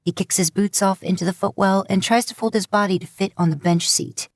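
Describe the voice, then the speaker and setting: A woman narrating a fan fiction audiobook in a steady storytelling manner. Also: medium pitch, fairly quick, no echo.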